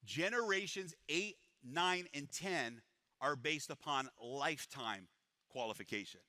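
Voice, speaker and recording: A man presents to a live audience with an energetic sales pitch, speaking in a slightly high, very bright voice. The sound is dry and close, with no room echo. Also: unhurried. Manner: energetic salesman pitch, repeats "it's powerful". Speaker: presenter at a business event.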